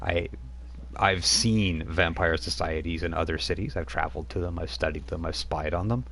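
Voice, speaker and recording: A scornful vampire woman who has outlived centuries, as a man puts her on at the game table. Her voice sits very deep and dark, and she speaks with weary contempt for lesser creatures. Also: moderate pace, slight background noise. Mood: proud. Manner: contemptuous, brooding.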